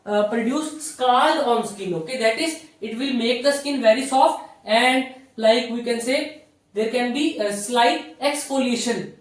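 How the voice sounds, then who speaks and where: Echoey, distant Indian-accented woman lecturing. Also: on the high side. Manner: didactic, repeats key points with checking tags. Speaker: physiotherapy lecturer. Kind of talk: explanatory lecture. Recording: very echoey room, distant mic.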